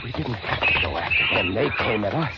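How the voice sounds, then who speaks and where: An actor playing a haunted lighthouse keeper, narrating a siege in theatrical, deliberate 1950s radio drama style. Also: male voice, lowish voice, big pitch swings. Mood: tense, dread. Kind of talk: 1950s radio drama acting, suspenseful first-person narration. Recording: dull old radio recording with loud music.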